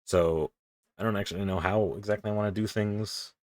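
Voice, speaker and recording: A young man with a deep voice, casually rambling and swearing as he talks through his drawing on a stream. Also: fairly even intonation, a little fast, a little mellow, no echo, clean studio sound. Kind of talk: casual commentary while drawing.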